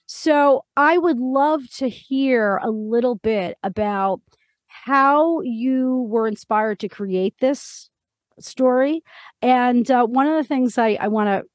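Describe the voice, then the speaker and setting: A woman hosts an interview podcast. Her voice sits fairly high. The recording is dry and close, with very little room sound. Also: dry recording. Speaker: podcast host. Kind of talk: scripted introduction, then conversational interview.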